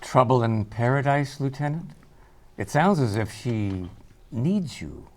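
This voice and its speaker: Sly doctor with swooping, mocking delivery played by an actor. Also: male voice, medium pitch, expressive, a little slow, natural voice. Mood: taunting. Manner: theatrical, mockingly polite. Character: sly, unsettling male doctor under police questioning. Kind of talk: live screenplay table read.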